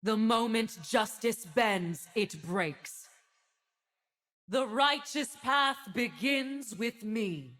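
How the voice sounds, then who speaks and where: Righteous angelic female judge, unhurried delivery, solemn and grand, ethereal voice effect, fantasy game. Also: fairly high pitch, expressive, a bit bright, exaggerated voice. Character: stern righteous winged divine female judge of evil. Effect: subtle ethereal effect on the voice. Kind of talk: fantasy video game voice lines.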